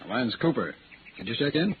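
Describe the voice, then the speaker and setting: Genteel holiday guest, male actor, affable and smoothly evasive, vintage radio play with muffled, noisy sound. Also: mid-range voice, big pitch swings, moderate pace, natural voice. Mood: genial. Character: genial, leisurely male lodge guest, politely evasive. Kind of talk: 1950s radio drama acting.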